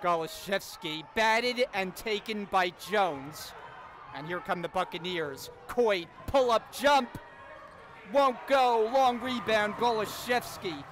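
A man calling a college basketball game play-by-play, getting louder and excited on big plays. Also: high-pitched, slow pace. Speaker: play-by-play announcer. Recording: crowd and court noise behind the commentary.

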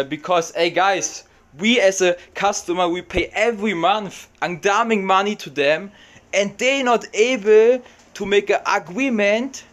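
A young man with a German accent speaks close to the camera in a small room. His voice sits high, and he talks in an excitable, chatty vlog style, dropping in Tagalog words. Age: young adult. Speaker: vlogger. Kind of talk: casual reaction vlog.

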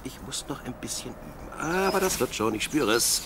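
Young shepherd boy, dreamy and plucky, very animated radio play delivery, sheep and birdsong behind. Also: mid-range voice, moderate pace. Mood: musing, cheerful. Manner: expressive, musing aloud to himself and animals. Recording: clear outdoor animal noise behind. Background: sheep, birds, outdoor ambience.

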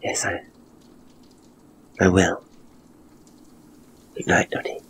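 A man voicing a gentle, devoted young woman in a ghost story, soft, breathy and hesitant. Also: slow pace, slight background noise, close to an everyday voice. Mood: soft, reluctant.

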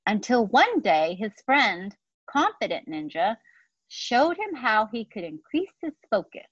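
A woman in her forties reads a children's book aloud at an unhurried pace. Her pitch moves around a lot as she explains things to young listeners. The sound is close and dry, slightly muffled and lo-fi. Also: big pitch swings, fairly bright tone, slightly rough, dry recording. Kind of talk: storytime read-aloud with casual asides to children. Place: outdoor patio beside trees.

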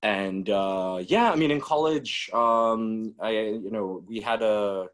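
A man's voice: on the low side, slow pace. A man in his twenties from the Czech Republic, speaking as a webinar guest in a loose, casual way with many "you know"s and restarts, heard over a video call. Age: 20s.

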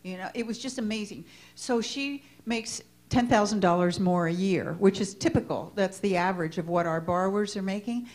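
A woman speaks up from the audience at a conference. She halts and restarts often as she tells her story. Her pitch sits in an ordinary middle range. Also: a little mellow. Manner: hesitant, many fillers and restarts. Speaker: audience member asking a question. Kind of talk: telling a story from the floor.

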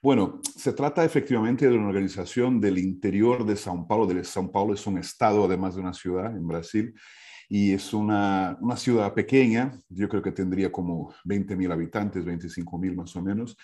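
Middle-aged Brazilian man, Portuguese native with Brazilian accent, lowish dark voice, informal explainer who keeps checking in with the listener. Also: brisk. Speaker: speaker sharing an idea. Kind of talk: informal talk, storytelling. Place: indoor room, video call. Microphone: wired earphone headset.

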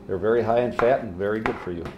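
Older American man, slightly low voice. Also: fast, dull audio. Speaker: cooking show co-host. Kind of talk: casual cooking tips. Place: indoor kitchen, steel worktop. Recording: noisy kitchen room sound.

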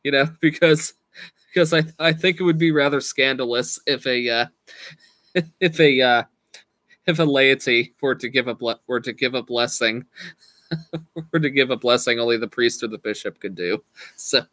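A man in his thirties chatting casually with viewers on a live stream from a small room at home, slowly losing his voice and speaking in halting restarts. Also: medium pitch, fairly bright tone, dry recording. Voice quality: slow, halting, with frequent restarts and laughs. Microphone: webcam at desk.

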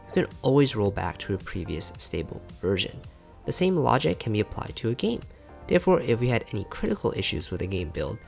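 A man's voice: mid-range voice, gravelly. A young man reading scripted narration in an informal, explanatory way, as a developer presenting his work. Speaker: software engineer and video creator narrating a dev log.

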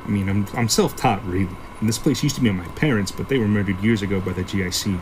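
Gruff low-voiced shopkeeper played by a man. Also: fast, background noise, close to an everyday voice. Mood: matter-of-fact, jaded. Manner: gruff, profane, offhand storytelling. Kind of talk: sci-fi audio drama acting.

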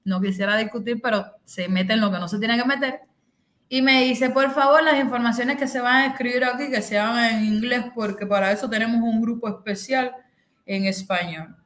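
Middle-aged Cuban host, bright sharp voice, vivid chatty storytelling over a video call. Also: female voice, a little high, normal speed, roomy sound. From Cuba. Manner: animated storytelling, acting out the other people in the story. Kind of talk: casual chat on a video call. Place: bedroom, indoors.

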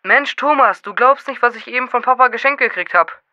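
A performer playing a confident, boastful, excitable boy in a children's radio play. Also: medium pitch, brisk, no echo, highs cut off. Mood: excited. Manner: cocky, dismissive, eager.

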